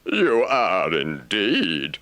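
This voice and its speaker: A man's voice: sits low, huge pitch swings, very slow, pushed and bright, no room sound, pitched above the performer's own voice, heavily acted. A man voicing a kindly, earnest rat, a loyal friend, in an audiobook. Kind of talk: audiobook character voice.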